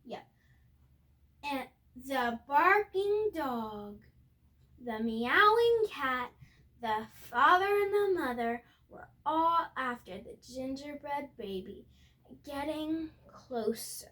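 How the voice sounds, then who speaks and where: A young girl reading a picture book aloud expressively, with halting moments and chatty asides to her viewers. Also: high voice, expressive, pushed and bright. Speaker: storybook reader.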